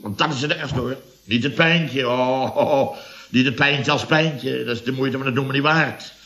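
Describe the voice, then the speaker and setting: A middle-aged building contractor from a radio comedy, with a bright, forceful voice at ordinary male pitch. He blusters and stammers through self-pitying complaints, repeating himself as he gets flustered. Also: normal speed. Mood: pained grumbling.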